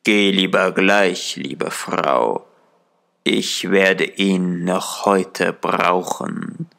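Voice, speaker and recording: A man voicing a menacing, commanding, murderous husband in a fairy tale, speaking slowly and threateningly. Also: sits low, vocal fry, fairly bright tone, heavily acted. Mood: cold, insistent. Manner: slow, threatening, angry.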